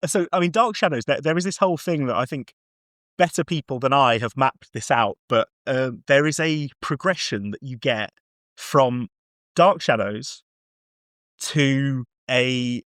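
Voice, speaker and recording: A man's voice: mid-range voice, measured pace, fairly bright tone, no room sound. Rambling, enthusiastic man on a dry mic. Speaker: podcast host. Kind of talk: casual film and TV discussion.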